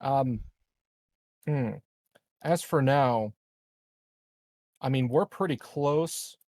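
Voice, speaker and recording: A man's voice: mid-range voice, very slow, darkish tone, no room sound. A man playing a tabletop game, talking casually and bluntly as he works out his moves. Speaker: tabletop RPG player.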